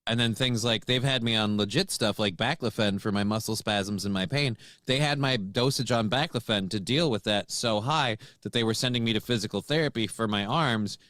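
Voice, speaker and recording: Low-voiced frank man in his forties. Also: normal speed, hardly any pauses, no room sound, clean studio sound. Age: 40s. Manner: frank, steady, repeats words as he restarts. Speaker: co-host. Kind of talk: casual chat.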